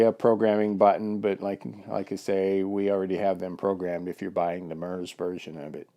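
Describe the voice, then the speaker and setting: A man's voice: sits low, vocal fry, dark tone. A man giving a casual, plain-spoken product demonstration of an intercom, with lots of fillers and restarts.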